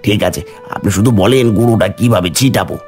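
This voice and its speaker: Guy doing a deep voiced sneaky village henchman in a cartoon, jealous, sucking up, music behind. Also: moderate pace, a little mellow, natural voice. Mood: sly, conspiratorial. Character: scheming envious village henchman, servile to his boss. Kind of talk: cartoon story dialogue.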